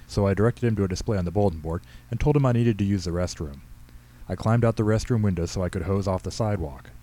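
Storybook pastor, middle-aged man, acted by a male reader: sheepish, earnest, low and very mellow. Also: fast, lightly acted, low-quality recording. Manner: mumbling, earnest, embarrassed.

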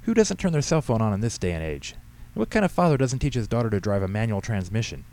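A man's voice: lowish voice, very animated, fast, a little mellow, pitched above the performer's own voice. A man voicing a bossy, cantankerous old woman who huffs and scolds in an audiobook story. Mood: scolding. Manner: huffy, scolding. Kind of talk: audiobook character dialogue.

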